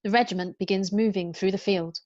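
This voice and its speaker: A woman narrates stage directions from a screenplay in a medium-pitched voice. The recording is close and dry, a little muffled. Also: steady tone, a little fast, darkish tone, no room sound. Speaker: stand-in narrator of a screenplay read-through.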